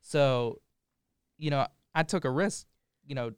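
A man's voice: mid-range voice, a little slow, clean tone, no echo, clean studio sound. A young man, a podcast guest, speaking in a casual, reflective way.

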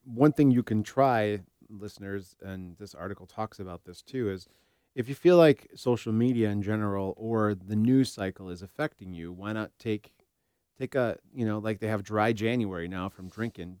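A man's voice: lowish voice, normal speed, darkish tone, clean tone, no echo, clean studio sound. A man hosting a podcast in casual conversation, thinking aloud in loose, informal sentences. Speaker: podcast host.

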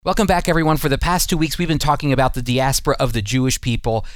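A man's voice: medium pitch, quick pace, no room sound, clean studio sound. A man hosting a radio Bible program, teaching in an earnest, emphatic, sermon-like way and addressing listeners directly. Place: studio.

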